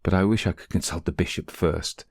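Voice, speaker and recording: Storybook role: hesitant, mild rector performed by a man, lowish voice, dry close recording. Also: steady tone, brisk, vocal fry, darkish tone, no room sound. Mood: reluctant. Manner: wavering, mild. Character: hesitant, reluctant, henpecked English rector. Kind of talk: audiobook character voice.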